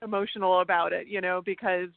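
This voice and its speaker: A German-born woman whose first language is German, speaking English without an accent as an interview guest, telling animated stories and restarting her sentences often. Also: fairly low pitch, fast talker, creaky, no room sound. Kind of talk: casual interview chat. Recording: tinny phone-like line.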